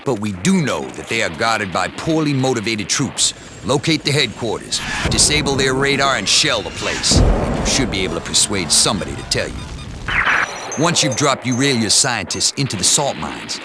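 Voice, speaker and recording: A stern military commander. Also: male voice, on the low side, very animated, moderate pace, very noisy. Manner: clipped, commanding briefing delivery. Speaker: mission commander giving briefings. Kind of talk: game cutscene voice acting. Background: loud music.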